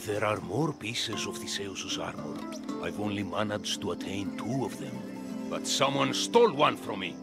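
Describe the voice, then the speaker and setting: A man playing a gruff, guilt-haunted collector in a video game, speaking in a brooding, clipped, cryptic way. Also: fairly low pitch, moderate pace, loud music behind the voice. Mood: vengeful. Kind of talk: video game cutscene acting.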